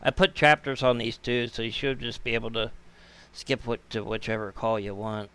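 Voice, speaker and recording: A man's voice: medium pitch, level tone, normal speed, pushed and bright, clean tone. A man hosting a live stream with casual commentary, informally muttering his way through things aloud.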